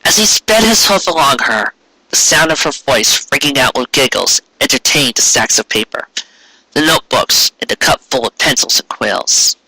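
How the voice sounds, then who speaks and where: A man's voice: medium pitch, lively intonation, normal speed, sharp tone, no echo, rough recording. A man narrating a scripted story as a dramatic fan fiction reading.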